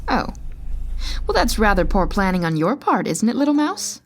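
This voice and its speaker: Audio drama fox trickster female actor: smug, teasing, condescending, lively intonation. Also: mid-range voice, normal speed, soft background music. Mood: exasperated, smug. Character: haughty teasing shapeshifting fox spirit, female.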